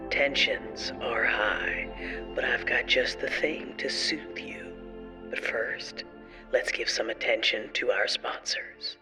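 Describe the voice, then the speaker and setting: Music plays clearly behind a vampire DJ acted by a man. He talks slowly in smooth late-night radio patter, as a host in an audio drama. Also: medium pitch, natural voice.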